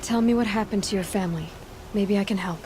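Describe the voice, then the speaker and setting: A woman's voice: mid-range voice, quick pace, close to an everyday voice, low-quality recording. A woman acting a practical, direct young huntress who asks questions in a video game. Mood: concerned, probing. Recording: clear music under the voice.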